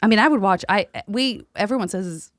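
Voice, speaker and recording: A woman's voice: mid-range voice, a little fast, dry recording. A woman hosting a podcast in casual panel chat, with playful, wordy riffs. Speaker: podcast host.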